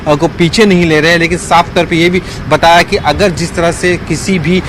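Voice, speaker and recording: High-pitched male reporter over clear outdoor noise. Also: fairly even intonation, normal speed. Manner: hesitant, wordy, repeats stock phrases. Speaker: field news reporter. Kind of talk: live news report.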